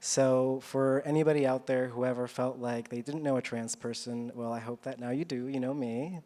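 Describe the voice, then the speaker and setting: An earnest man from Chile stands up to pitch his film. He speaks at a medium pitch and in a personal, heartfelt way, as in a live speech to a panel. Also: steady tone, normal speed, dark tone.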